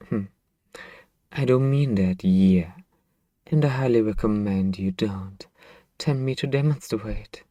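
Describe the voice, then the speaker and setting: A man voicing a possessive yet tender centuries-old vampire royal in an intimate ASMR roleplay, speaking softly and haltingly in a coaxing murmur. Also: fairly low pitch, no echo, studio quality. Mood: possessive, teasing menace. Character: possessive, tender two-hundred-year-old male vampire royal, devoted master. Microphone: close microphone, dry.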